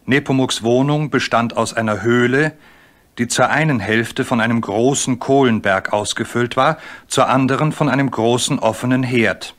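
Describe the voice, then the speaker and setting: A man's voice: medium pitch, normal speed, a bit bright. A man narrating a children's story from a script as part of a radio play.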